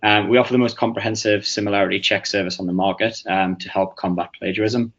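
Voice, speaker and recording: Lowish, muffled man, filler-heavy webinar talk. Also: steady tone, quick pace, a bit bright. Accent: Northeast English. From England. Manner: hesitant, many fillers and restarts. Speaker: webinar presenter. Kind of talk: webinar presentation. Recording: muffled lo-fi audio.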